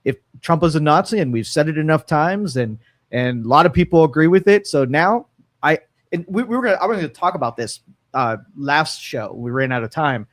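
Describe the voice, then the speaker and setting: A man co-hosts a talk show from the studio. He muses his way through each point, stopping and restarting often, in a voice a little higher than most men's. The sound is close and dry. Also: animated, a little fast, fairly bright tone, dry recording. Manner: musing, with frequent fillers and restarts. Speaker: radio talk show co-host. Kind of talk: casual opinion talk.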